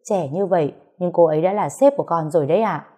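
A woman's voice: fairly low pitch, quick pace, dark tone, slightly rough. A woman voicing a polite young adult son who pleads with his parents in an audio story. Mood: pleading.

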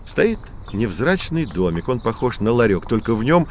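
A man's voice: medium pitch, very animated, normal speed, slight background noise, close to an everyday voice. A man playing a kindly, patient professor explaining things to children in a scripted educational audio play. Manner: instructive, encouraging.

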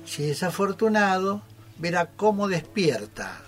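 A man's voice: sits high, very animated, a little slow, faint music behind. A man playing a theatrical, inviting sideshow barker in a radio play reading. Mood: showy, inviting. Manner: theatrical, inviting showman's patter. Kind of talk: dramatic reading of a short story for radio theatre.

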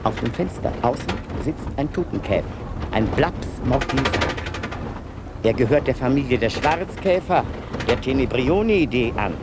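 A man's voice: medium pitch, lively intonation, normal speed, heavy background noise, natural voice. An actor playing a pedantic male asylum inmate in a radio play, talking in a meticulous, lecturing way. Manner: meticulous, lecturing, precise and detailed. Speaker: patient narrating his own thoughts.

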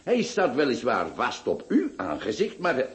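An actor playing a pompous, eccentric doctor who lectures and scolds grandly in a radio play. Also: male voice, mid-range voice, huge pitch swings, unhurried. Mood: lecturing. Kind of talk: radio drama acting.

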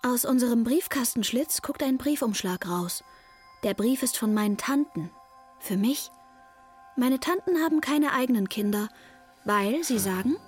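A naive little kid telling a story, highish voice, matter of fact, radio drama, bit of music. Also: female voice, lively intonation, a little slow. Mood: earnest. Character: young child narrator, naive, earnest, devoted to troubled mother. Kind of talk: first-person radio drama narration.

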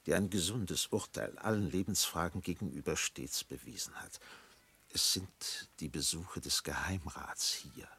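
An actor playing a solemn, probing old village pastor in a radio play, with a gravelly, husky voice and a measured, formal, unctuous manner. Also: male voice, deep voice, a bit bright, heavily acted. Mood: gravely concerned. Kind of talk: German radio play acting.

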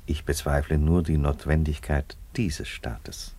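Roman emperor in a radio play, super deep voice, middle-aged, calm and declarative, tired irony. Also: male voice, no room sound. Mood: dry, reasoning. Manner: deliberate, unruffled, declarative. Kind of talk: German radio play acting.

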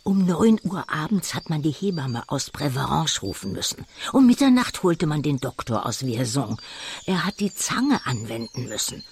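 A man's voice: on the high side, a little hiss or hum. An actor playing an agitated man in a radio drama, reporting news in an urgent, factual way.

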